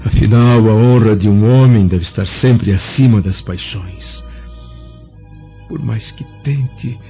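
Proud middle-aged Roman senator, grieving father, low mellow voice, solemn formal monologue, radio drama. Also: breathy edge, exaggerated voice. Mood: proud, brooding. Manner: solemn, formal, measured delivery. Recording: dull band-limited audio with music behind.

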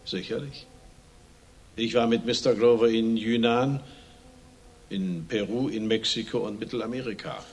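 A man's voice: mid-range voice, fairly even intonation, unhurried, long pauses, slightly rough. An actor playing a long-serving, discreet butler in a radio crime drama, formal, deferential and precise in his speech. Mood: composed, formal.

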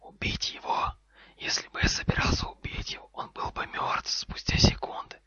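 A man voicing an angry, haughty snake in a hissing whisper, sharp and commanding in an audiobook style. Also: normal speed, no room sound, lo-fi sound, lightly acted. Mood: furious, scornful.

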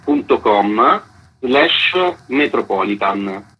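A husky, breathy man with a mid-pitched voice explains his live role-play game in a calm, measured way. He sounds muffled and dull, like a radio broadcast. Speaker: game designer, guest. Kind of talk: radio interview.

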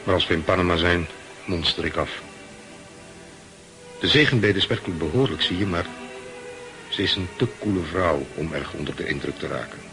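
A man's voice: low voice, normal speed, pauses often, over music. An actor playing a brooding, terse ship's first mate who narrates his story in a Dutch radio play. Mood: uneasy, reflective. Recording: hissy old radio recording.

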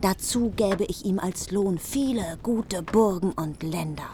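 Eine Schauspielerin spielt in einem deutschen Hörspiel eine trauernde, rachsüchtige Königin, feierlich und eindringlich. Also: female voice, medium pitch, unhurried, mellow, a little hiss or hum, close to an everyday voice. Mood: vengeful. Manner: solemn, formal, insistent. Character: vengeful, grieving queen demanding sworn service. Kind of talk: German radio play of a medieval epic.

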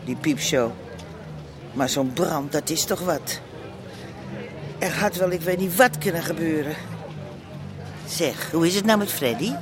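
A woman's voice: low-pitched, a little slow, long pauses, a crowd in the background. An actress playing a worried, chatty middle-aged mother in a radio drama. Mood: concerned, chatty. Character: worried, chatty mother of a stubborn grown son. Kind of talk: naturalistic radio drama dialogue. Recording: busy outdoor street noise behind.

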